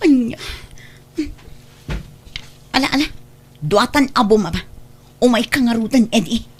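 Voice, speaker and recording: A woman playing a married woman who brushes off her former boyfriend, sounding brisk and dismissive in an audio drama. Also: fairly high pitch, animated, slight background noise.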